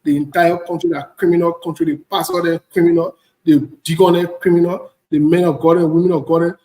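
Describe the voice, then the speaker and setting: A man's voice: on the high side, level tone, fairly quick, mellow. A man from the Ivory Coast with a West African accent, delivering a blunt, indignant, unscripted political rant and repeating phrases for emphasis. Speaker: online political commentator. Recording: echoey room.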